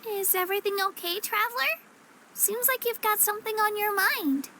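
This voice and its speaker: A woman's voice: extremely high pitch, normal speed, pushed and bright, slight background noise, full character voice. A young woman playing an upbeat, helpful girl guide in a video game, speaking in a friendly, chatty, eagerly explaining way. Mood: earnest, explaining. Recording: music behind the voice. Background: game music.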